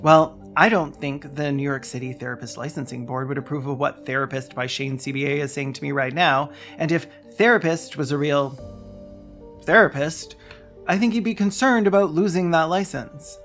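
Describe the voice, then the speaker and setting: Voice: medium pitch, moderate pace, fairly bright tone, faint music behind. A video essayist delivering scripted comedic commentary in a sardonic, theatrical way with dry asides.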